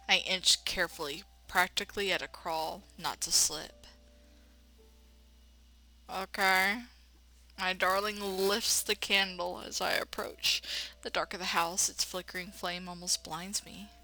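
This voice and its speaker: Female let's player, bright tone, expressive narration with playful, blunt running commentary. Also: lowish voice, poor audio. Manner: expressive narration broken by blunt sassy asides. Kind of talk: reading a visual novel aloud with playful running commentary.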